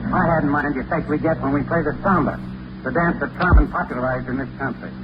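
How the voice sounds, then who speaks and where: A man's voice: fairly high pitch, quick pace, muffled recording, noisy background. A man talking in scripted old-time radio style, explaining things on a vintage broadcast recording.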